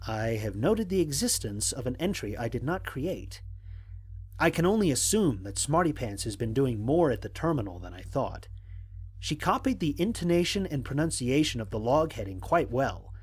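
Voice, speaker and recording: A man playing a wry, analytical stranded astronaut, narrating mission logs in an audio drama in a measured, articulate way. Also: medium pitch, slightly rough. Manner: measured, articulate, dryly humorous. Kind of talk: dramatic scripted log narration.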